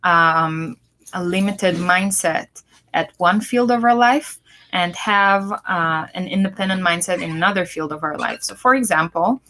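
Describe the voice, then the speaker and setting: A woman's voice: lowish voice, a little slow, bright, forceful tone, a little hiss or hum. A woman with a Hebrew accent, co-hosting a talk show and explaining her ideas in a thoughtful way, with many fillers and restarts. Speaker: podcast co-host. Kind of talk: casual chat.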